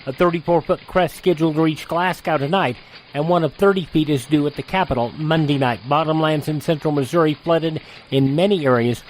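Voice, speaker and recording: A man working as a radio host, reading announcements and pitches in a folksy, conversational way. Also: fairly quick, a little hiss or hum, rough recording. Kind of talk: host reads, sponsor pitch and old news headlines.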